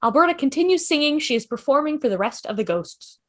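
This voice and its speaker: A woman's voice: high voice, very animated, quick pace. A woman reading stage directions as scripted narration in a fan table read.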